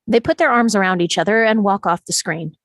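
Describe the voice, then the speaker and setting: A woman's voice: mid-range voice, a little fast, no room sound, studio quality. A woman narrating scripted stage directions at a screenplay table read.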